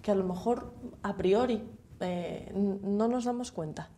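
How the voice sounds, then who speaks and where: A woman's voice: mid-range voice, unhurried, warm tone. A woman in her thirties with a Galician Spanish accent, a TV interviewer asking questions in a conversational way in a studio. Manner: conversational, asks with tag questions.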